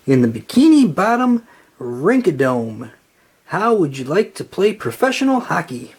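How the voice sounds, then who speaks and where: A reader as a chummy sports agent, lively pitch. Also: unhurried, husky. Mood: persuasive. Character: smooth-talking, flattering male sports agent. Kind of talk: children's storybook read-aloud.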